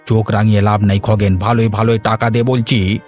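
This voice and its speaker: A man's voice: on the low side, flat delivery, brisk, darkish tone, soft background music, lightly acted. A man voicing a grumbling, hard-pressed old village fisherman in a cartoon. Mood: indignant. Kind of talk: Bengali moral-story cartoon dubbing.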